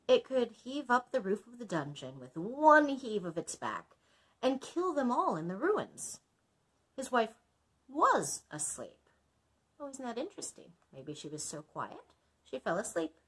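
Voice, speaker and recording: A woman's voice: mid-range voice, slightly rough. A woman reading a children's story aloud as a librarian, expressively, with small asides to listeners.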